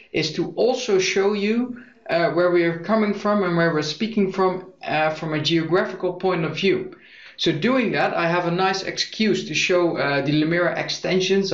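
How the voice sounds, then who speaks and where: A young man with a Dutch accent, presenting a software webinar in an explanatory way with frequent fillers. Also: a little high. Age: young adult. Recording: echoey muffled lo-fi audio.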